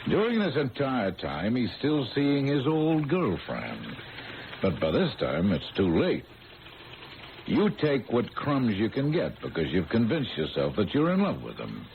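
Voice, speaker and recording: A middle-aged man playing a skeptical, patronizing veteran police sergeant in an old-time radio drama, with folksy, drawn-out emphasis. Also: medium pitch, huge pitch swings, creaky, full character voice. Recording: tinny, noisy old radio broadcast.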